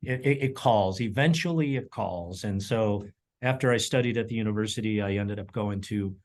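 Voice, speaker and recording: A middle-aged man, a chef whose first language was German, telling his family story in a relaxed interview with many ums and repeated words. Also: fairly low pitch, normal speed. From United States (Colorado). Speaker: chef and culinary educator, interview guest.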